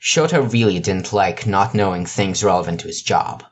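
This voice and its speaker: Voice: low voice, animated, normal speed, husky. An amateur narrator reading a scripted piece of fiction. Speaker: narrator, amateur podfic reader.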